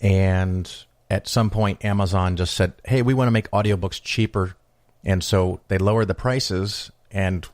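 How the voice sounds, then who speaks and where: Sex: man. Role: podcast host. Pitch: very low. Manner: relaxed, wry. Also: unhurried, no echo. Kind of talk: casual interview chat.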